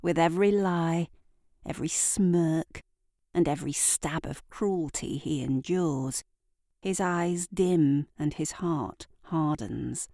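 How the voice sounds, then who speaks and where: Female narrator, low and unhurried, close dry sound, brooding delivery for dark scripted drama. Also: no room sound. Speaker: narrator and writer of an audio drama.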